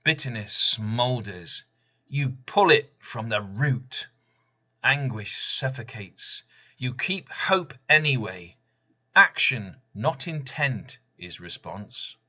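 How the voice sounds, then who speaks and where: A middle-aged man reading his own spoken-word poem in measured, emphatic, short clipped phrases. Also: mid-range voice, animated, sharp tone, lo-fi sound. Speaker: poet reading own work.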